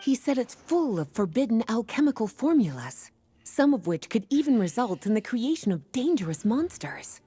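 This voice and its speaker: A woman voicing a composed, knowledgeable librarian in a video game, explaining things in a measured, storytelling way. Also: a little high, sing-song, warm tone, breathy edge, dry recording. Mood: calm explaining. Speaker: librarian character. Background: game music.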